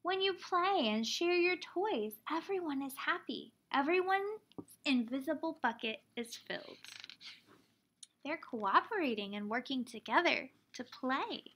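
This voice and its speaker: A woman in her thirties reading a children's picture book aloud like a teacher, expressively, pausing to explain things simply for young children. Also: high voice, animated, a bit bright, a little hiss or hum. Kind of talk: children's storybook read-aloud.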